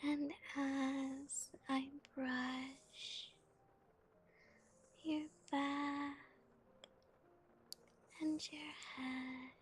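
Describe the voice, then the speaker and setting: A woman whispering gently and softly as an ASMR streamer, giggling now and then and playing a cute spooky bat persona. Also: high-pitched, level tone, very slow, pauses often, slight background noise. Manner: calls herself a bitty bat, spooky cutesy persona. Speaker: ASMR streamer reading chat.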